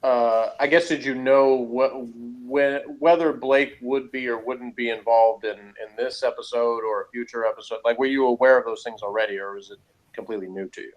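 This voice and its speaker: Middle-aged male, mid-pitched, for a casual review podcast host who thinks aloud and meanders. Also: steady tone, fairly quick, lo-fi sound. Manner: conversational, rambling, self-qualifying.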